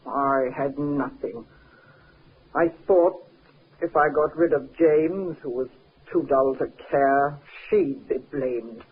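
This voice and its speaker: An actress playing a bitter, jealous, scheming cousin in a 1950s radio drama, speaking with insistent, dramatic emphasis. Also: female voice, extremely low pitch, slow, breathy edge, heavily acted. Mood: bitter confession. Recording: muffled, narrow-band 1950s radio recording.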